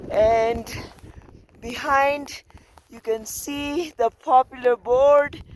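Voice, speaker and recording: A hoarse woman narrates her trek to a mountain camera, voice a little high and unhurried. Her words come slow and breathless, filmed outdoors with faint wind noise behind her. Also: low-quality recording. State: hoarse, lost voice. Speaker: trekker filming her climb. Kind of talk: casual travel vlog narration.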